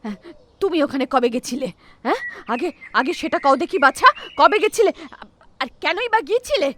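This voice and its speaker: Brief: a nervous old matriarch in an animated horror story, high voice, swooping, suspicious. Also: female voice, brisk. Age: older. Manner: fretful, suspicious questioning. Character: anxious old mother-in-law hiding a secret idol.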